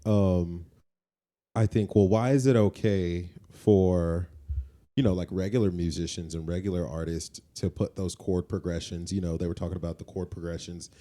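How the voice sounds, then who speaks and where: Low, mellow man co-hosting a podcast. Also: steady tone, normal speed. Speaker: podcast co-host. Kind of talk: casual conversational podcast chat. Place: indoors, relaxed seated setup.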